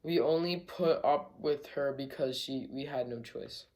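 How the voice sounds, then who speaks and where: A slow-paced reader works through a children's story out loud. The delivery is halting and broken into short phrases, at a middling pitch. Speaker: reader in a group read-aloud. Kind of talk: reading a children's story aloud.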